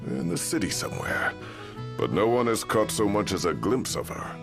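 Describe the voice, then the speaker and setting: An actor playing a shrewd, courteous older man in a game cutscene, with measured, formal, wry phrasing. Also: on the low side, big pitch swings, gravelly, over music. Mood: candid, wry. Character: shrewd, gravel-voiced older local man, courteous and knowing. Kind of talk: video game cutscene acting.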